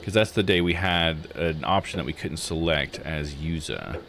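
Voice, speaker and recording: A man's voice: a bass voice, moderate pace, creaky, a bit bright, slight background noise. A man giving casual game commentary, thinking aloud in a musing, tentative way as he plays a visual novel. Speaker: streamer playing a visual novel.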